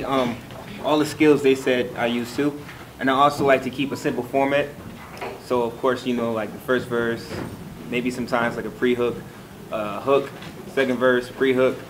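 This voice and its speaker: A man speaking casually and haltingly as a songwriter on a panel, explaining step by step over noise. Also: mid-range voice. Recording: clear background noise.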